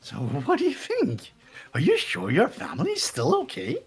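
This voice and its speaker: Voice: mid-range voice, wildly swooping pitch, moderate pace, slightly rough, faint music behind, heavily acted. A performer voicing a sadistic, mocking male bear mastermind in a fan dub of a video game, taunting and giggling in a sing-song way.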